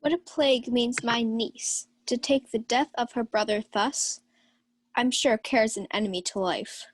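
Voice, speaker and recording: A carousing knight and uncle in a radio Shakespeare play, acted by a woman. The voice is rather high and recorded dry. The delivery is blustering and defiant, all tavern bravado. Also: fairly even intonation, a little slow, no echo. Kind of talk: student Shakespeare radio acting.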